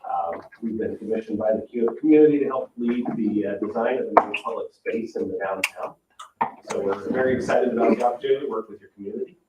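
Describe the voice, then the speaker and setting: A man with a fairly low voice presents plans in a measured, explanatory way. The room echoes around him and he sounds distant from the microphone. His phrasing is a little hesitant, like a professional walking an audience through a project. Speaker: landscape architect presenting to a town meeting. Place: indoor public meeting room. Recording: roomy, distant mic, dull sound with noise.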